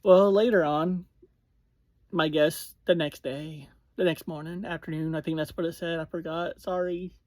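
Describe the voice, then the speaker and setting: A reviewer giving a casual spoken recap, speaking informally to listeners in short, halting phrases and often self-correcting. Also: mid-range voice, fairly even intonation, a little mellow, no room sound. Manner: informal, addresses listeners directly. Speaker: podcast reviewer.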